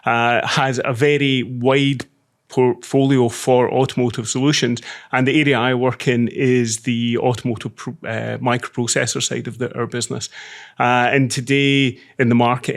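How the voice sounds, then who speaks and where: A middle-aged man with a Scottish accent, a podcast guest who talks in a measured, self-deprecating way with frequent restarts. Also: medium pitch, gravelly. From Scotland. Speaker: podcast guest, semiconductor executive. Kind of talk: casual interview talk.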